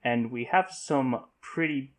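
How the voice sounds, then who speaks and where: A man hosting a casual podcast discussion, speaking haltingly with repeated false starts and stammers. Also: mid-range voice, fairly bright tone. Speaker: podcast host.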